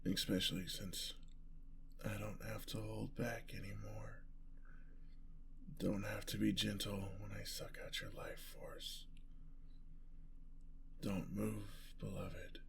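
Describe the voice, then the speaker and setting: A man's voice: lowish voice, huge pitch swings, background noise, full character voice. A man voicing a bloodthirsty, seductive vampire in an ASMR fantasy roleplay, savouring his words with theatrical menace. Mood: hungry, seductive. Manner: slow, savouring, breathy menace.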